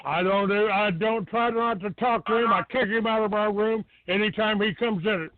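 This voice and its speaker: A man with a distinctly high voice hosts a call-in radio show. He is blunt and combative, quick to brush callers off. The sound is dry and narrow, like a telephone. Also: fairly even intonation, no room sound. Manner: blunt, combative, dismissive, swearing. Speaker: talk show host taking calls. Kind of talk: live internet radio call-in talk. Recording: narrow phone-like low-fidelity internet radio sound.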